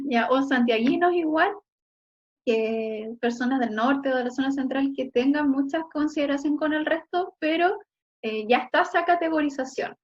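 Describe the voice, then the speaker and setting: Chilean woman, teacher, fairly high voice, muffled sound, keeps checking in with students. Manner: frequent checking "¿ya?" tags, encouraging. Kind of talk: online class lecture.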